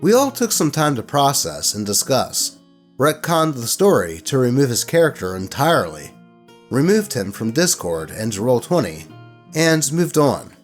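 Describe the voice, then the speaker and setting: A man narrating stories in an expressive, colloquial way, with casual commentary mixed in. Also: medium pitch, measured pace, faint music behind. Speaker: host reading online tabletop horror stories.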